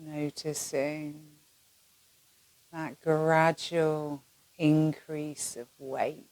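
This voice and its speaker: A woman with a low voice leads a guided yoga nidra meditation. She speaks very slowly and gently, leaving long pauses between soothing phrases. The recording is close and dry, with little sense of the room. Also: little pitch movement, no room sound.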